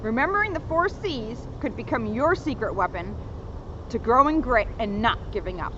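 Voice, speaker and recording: A woman reading a children's picture book aloud outdoors in an expressive, instructive storytelling manner, on a noisy, dull recording. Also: on the high side, normal speed. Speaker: police officer reading a children's book to viewers.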